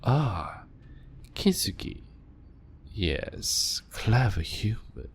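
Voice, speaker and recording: A man voicing an ancient male vampire doctor, calm and clinical, speaking softly and often in a whisper in an audio roleplay. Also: lowish voice, vocal fry, a little hiss or hum, exaggerated voice. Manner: soft-spoken and measured, often whispering.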